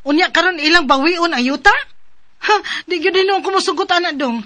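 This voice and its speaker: A feisty, stubborn wife with a very high, bright voice and a Cebuano Filipino accent. She delivers her lines in indignant radio drama style. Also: brisk, no room sound. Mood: indignant, shocked. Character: feisty, indignant wife refusing to give up land.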